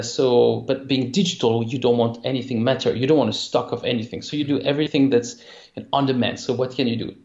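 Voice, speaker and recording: A man with a French accent, a game company founder talking in a casual interview, halting with many restarts. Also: medium pitch, gravelly, a little mellow, roomy sound, muffled recording. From France. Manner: halting, many restarts and fillers, laughs easily. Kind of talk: casual interview over a call.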